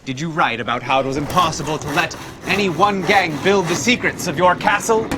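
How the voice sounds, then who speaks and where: An actor on stage playing a sardonic, needling accuser who narrates dark crimes in a pointed, dramatic way. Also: male voice, a little high, brisk, noisy background, close to an everyday voice. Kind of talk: period stage play acting. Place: theatre stage. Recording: live theatre stage recording with music.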